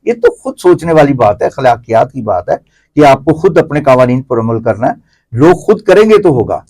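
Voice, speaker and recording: Man, middle-aged, Pakistani accent, medium pitch, opinionated interview guest, explains at length, repeats phrases. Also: big pitch swings, darkish tone. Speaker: interview guest, theatre commentator. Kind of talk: conversational opinionated discussion.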